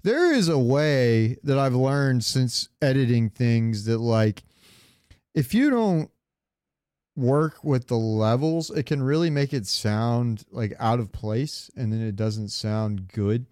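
A man's voice: medium pitch, expressive, takes it slowly, a little mellow, clear, resonant tone, dry recording, studio quality. A man co-hosting a casual podcast chat, talking in a loose, rambling way. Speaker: podcast co-host. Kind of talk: casual chat about game voice acting.

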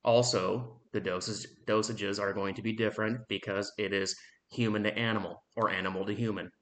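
A man in his thirties giving a blunt, frustrated opinion monologue on his own podcast, swearing freely and pausing often on "um.". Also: fairly low pitch, fairly even intonation, a bit bright. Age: 30s. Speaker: podcast host.

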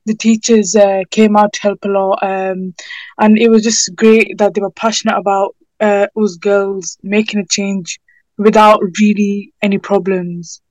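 A woman's voice: medium pitch, little pitch movement, measured pace, a little mellow, dry recording, rough recording. A sixteen-year-old young woman with a British accent, giving informal, earnest and somewhat hesitant answers in an interview. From United Kingdom. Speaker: interviewee, student campaigner.

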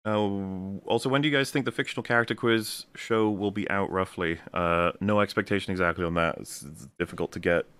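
A man's voice: deep voice, steady tone, a little fast, few pauses, fairly bright tone, clear, resonant tone, no room sound. A man hosting a casual stream chat, rambling loosely through viewer messages and swearing now and then. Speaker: host reading viewer messages.

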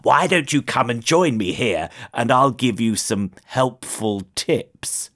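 A woman voicing a sly, scoffing toad in a children's storybook, with smooth, mock-courteous manners. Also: rumbling low, expressive, slow, exaggerated voice. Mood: sly, coaxing. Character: sly, hungry, scoffing old-style gentleman toad.

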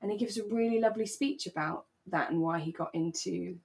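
A woman co-hosting a podcast, talking thoughtfully and in a relaxed way about a radio drama, repeating phrases for emphasis. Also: fairly low pitch, a little fast. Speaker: podcast co-host.

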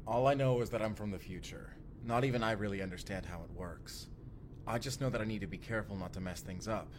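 Male actor as sincere young time traveler, lowish voice, confiding and casual, sci-fi audio drama. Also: quick pace, noisy background, lightly acted. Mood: earnest, explanatory. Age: young adult. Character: earnest, secretive young man from the future named Benji. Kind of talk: immersive audio drama roleplay acting.

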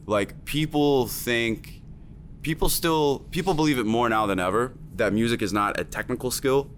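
A man's voice: mid-range voice, moderate pace, slight background noise. A man working as a music producer and teacher, talking emphatically in a casual chat, with lots of fillers.

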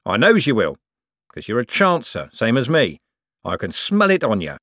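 A man voicing a gruff, rich businessman, who talks slowly and boastfully. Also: medium pitch, wildly swooping pitch, fairly bright tone, no room sound, clean studio sound, full character voice. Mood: sly. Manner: boastful, blunt, slow and emphatic. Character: gruff rich working-class male businessman.

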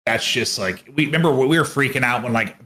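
A man's voice: mid-range voice, a little fast, sharp tone. A man co-hosting a podcast, chatting casually and bluntly with plenty of swearing and colloquial phrasing. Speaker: esports commentator and podcast co-host.

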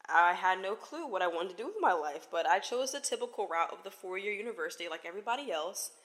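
Bubbly, bright-voiced woman in her twenties. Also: medium pitch, expressive, fast talker. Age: 20s. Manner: chatty and playful, laughing and squealing often. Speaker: podcast host. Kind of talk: casual solo podcast chat.

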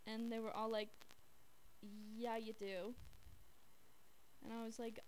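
A young woman with a fairly high voice co-hosts an informal podcast. She tells anecdotes conversationally, quoting herself and others with plenty of "like". The amateur recording carries some faint noise. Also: flat delivery, slow pace, a little mellow, poor audio. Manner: informal, heavy use of "like" when quoting. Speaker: podcast co-host. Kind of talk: casual chat, telling anecdotes.

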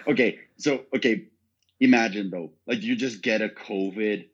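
A man's voice: lowish voice, moderate pace, husky. A young man from Norway, a guest chatting casually in an interview, often stammering over restarts and laughing. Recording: dull lo-fi sound.

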